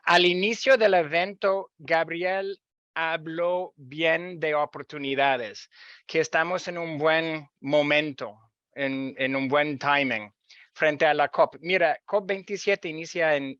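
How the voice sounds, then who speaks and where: Man, Canadian accent, animated panelist, dry close sound, muffled call audio. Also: a little high, takes it slowly, smooth, dry recording. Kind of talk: webinar panel talk.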